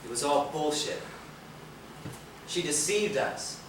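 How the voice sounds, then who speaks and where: A man's voice: on the high side, expressive, slow. A sullen young rebel played by a man on stage gives curt, bitter replies. The sound is distant, noisy and full of echo. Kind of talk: stage play acting. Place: theatre stage, picked up from a distance. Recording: echoey, noisy stage videotape from far off.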